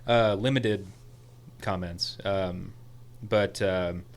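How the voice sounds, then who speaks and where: A young man co-hosting a podcast in casual chat, an excitable storyteller who rambles, his voice a little hoarse. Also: low-pitched, very slow. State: slightly hoarse after a concert. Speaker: podcast co-host.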